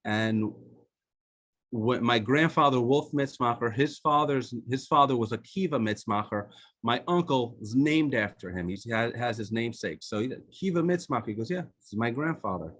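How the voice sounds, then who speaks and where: A man's voice: medium pitch, expressive, moderate pace, a bit bright. Man presenting photos on a video call. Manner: conversational, with frequent fillers and restarts. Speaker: author and guest speaker.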